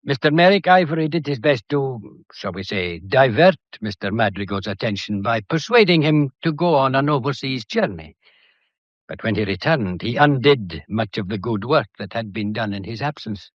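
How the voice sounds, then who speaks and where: An actor playing a shrewd, methodical police detective in a British period mystery drama, courteous and lecturing, with a faintly theatrical delivery. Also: male voice, mid-range voice, no echo, highs cut off, lightly acted. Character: shrewd, methodical male police detective laying out a murder case.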